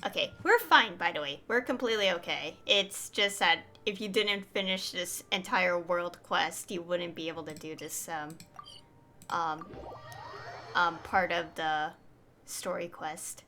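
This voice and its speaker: A woman's voice: on the low side, unhurried, bright, forceful tone. A woman doing casual live game commentary, rambling and hesitant as she thinks aloud. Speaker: game streamer. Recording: faint game music behind. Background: game music.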